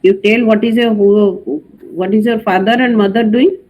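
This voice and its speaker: A woman teacher running an online class, asking students brisk questions in non-native phrasing. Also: medium pitch, steady tone, dull audio. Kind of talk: online class roll call.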